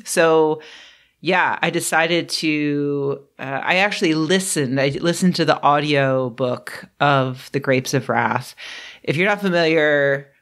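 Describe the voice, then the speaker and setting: A woman's voice: low-pitched, slow pace, a bit bright. A young adult woman chatting unscripted on her channel, a bit throaty from a cold, rambling with fillers and self-deprecating asides. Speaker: book vlogger. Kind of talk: unscripted casual vlog.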